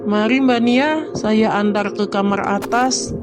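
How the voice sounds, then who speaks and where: A woman's voice: fairly high pitch, unhurried, lightly acted. A woman voicing a polite, helpful household maid in a cartoon. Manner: polite, servile. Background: music.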